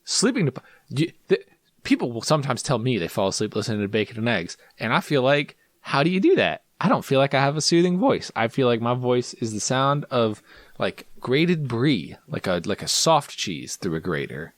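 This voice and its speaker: A young man chatting on a movie podcast, his pitch swinging up and down in an animated way. He jokes a lot and teases himself. The sound is close and dry, with no room around it. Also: normal speed, no room sound. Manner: animated, jokey, self-deprecating. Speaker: podcast co-host. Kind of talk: casual podcast chat.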